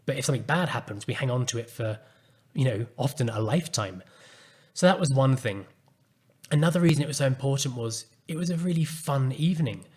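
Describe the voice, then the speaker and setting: Calm, earnest man telling a personal story. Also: mid-range voice, a little fast, husky. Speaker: podcast host and coach. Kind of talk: reflective solo podcast talk.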